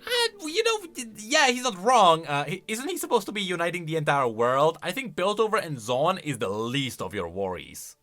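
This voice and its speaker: A man giving casual, conversational reaction commentary as a video host, with an animated, questioning manner. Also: fairly high pitch, normal speed, few pauses, soft background music. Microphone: close studio-style microphone.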